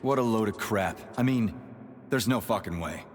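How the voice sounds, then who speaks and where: An actor playing a gruff, sarcastic, hot-headed special agent in a video game, blunt and foul-mouthed. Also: male voice, on the low side, normal speed, noisy background, lightly acted. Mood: angry disbelief. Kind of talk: scripted video game acting, conversational. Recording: echoing reverberant space.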